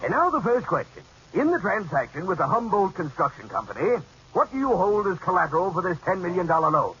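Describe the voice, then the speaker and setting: A stern, suspicious bank examiner. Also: male voice, high voice, big pitch swings, fairly quick, pushed and bright, husky, full character voice. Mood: officious. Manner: stern, interrogating, pompous. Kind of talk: 1940s radio sitcom acting. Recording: faintly hissy dull old radio broadcast.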